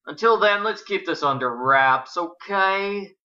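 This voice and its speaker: A reserved young cowboy, acted out by a female reader, talks in short rustic phrases. He sounds irritable and guarded, with a sharp tone. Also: medium pitch, animated, a little slow, lo-fi sound, voice pushed up. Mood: guarded, quiet.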